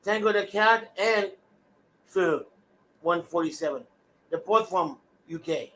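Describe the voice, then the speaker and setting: A man's voice: high-pitched, slow, pushed and bright. A man chatting offhand on a livestream, reading out names from the chat.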